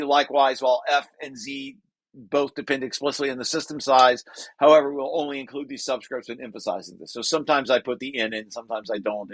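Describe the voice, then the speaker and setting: A man's voice: mid-range voice, dry recording. Man explaining technical material, dry close sound. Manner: informal, halting asides with repeated restarts between read passages. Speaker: presenter reading and explaining a research paper draft. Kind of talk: technical walkthrough, reading aloud with off-the-cuff explanations.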